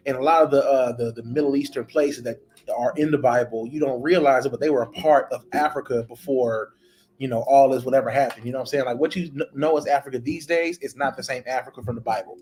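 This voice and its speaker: A man from Louisiana appearing as a guest on a casual podcast. He talks quickly and with emphasis, firing off his points at a brisk clip and often checking in with his listener. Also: mid-range voice, steady tone, a little mellow. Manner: quick, emphatic, punctuated by "you know what I'm saying".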